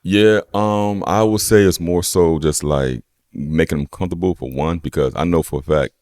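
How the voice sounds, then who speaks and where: A young man with a deep voice, a personal trainer chatting casually as a podcast guest, relaxed and rambling with lots of "you know what I'm saying.". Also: moderate pace, dry recording, studio quality. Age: young adult. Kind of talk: casual conversation.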